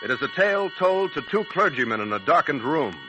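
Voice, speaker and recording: A man announcing a 1940s radio suspense programme in a scripted, dramatic, measured and portentous manner. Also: a little high, sing-song. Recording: old 1940s radio recording, music behind.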